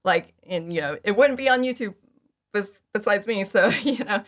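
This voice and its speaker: A woman's voice: medium pitch, lively intonation, normal speed. A woman talking casually and informally as an interview guest, chatty and prone to acting out her own remarks. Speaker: podcast guest, fan audio drama creator.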